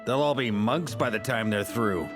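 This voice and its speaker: A man's voice: mid-range voice, moderate pace, music in the background, close to an everyday voice. An actor playing a hearty, good-humored man in a video game, jovial and bold. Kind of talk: video game cutscene voice acting.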